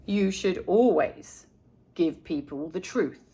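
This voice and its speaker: A woman voicing a calm, kindly, wise old grandfather in a storybook, with a measured, gently advising manner. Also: on the low side, breathy edge, voice pushed down, heavily acted.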